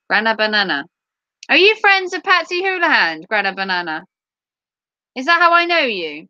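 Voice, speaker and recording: Giggly woman streamer, high bright voice, voice wearing out, dry dull mic. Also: no echo. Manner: chatty, playful, giggly. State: voice going hoarse. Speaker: livestreamer. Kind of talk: casual chat with viewers.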